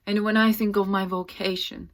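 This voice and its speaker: A woman playing a fragile, distraught young actress in a dramatic, halting stage monologue. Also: mid-range voice, level tone, lightly acted. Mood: quiet resolve. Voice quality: breathy, often dropping to whispers. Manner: halting, intense, swinging from hushed to loud.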